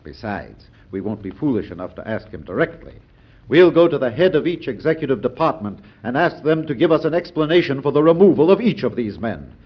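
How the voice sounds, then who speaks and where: An actor playing a confident, scheming American senator in a classic radio drama, folksy and self-satisfied. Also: male voice, mid-range voice, sing-song, brisk, husky. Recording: muffled old radio broadcast recording.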